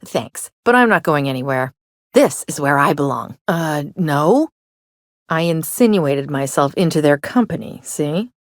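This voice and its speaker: A woman's voice: low voice, lively intonation, measured pace, no room sound, studio quality. An actress voicing a sardonic, flippant young woman who wants to be an outlaw in a video game, with a theatrical, wry delivery. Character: sardonic, serial-obsessed young woman, would-be frontier outlaw. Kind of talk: video game character dialogue.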